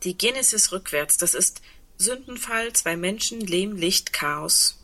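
Husky, lonely, sardonic woman. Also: medium pitch, expressive, measured pace, sharp tone, full character voice. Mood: musing. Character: lonely, sardonic woman awaiting the end of the world. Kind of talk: intimate radio play dialogue.

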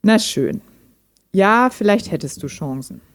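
A woman playing a gentle, guarded chatbot that talks like a human in an intimate radio play, thoughtful and understated. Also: mid-range voice, animated, measured pace, no echo, clean studio sound. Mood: guarded, wry.

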